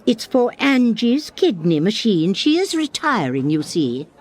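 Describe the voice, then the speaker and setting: A woman's voice: mid-range voice, sing-song, measured pace, darkish tone. An actress playing a fussy, persistent, genteel lady in a radio comedy, polite and twittery. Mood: eager, genteel. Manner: polite, twittery, insistent, hesitant. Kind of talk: radio comedy drama acting.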